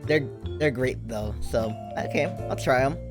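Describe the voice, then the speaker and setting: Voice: medium pitch, measured pace, creaky. A stream host in casual chat, asking questions in an easygoing, curious way. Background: background music.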